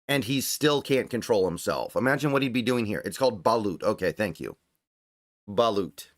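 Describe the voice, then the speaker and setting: A man's voice: on the low side, animated, moderate pace, no echo. Man with a slightly strained voice, dry sound. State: voice getting strained, starting to go hoarse. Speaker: host of a sports livestream. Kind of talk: casual fan commentary, reading and answering viewer chat.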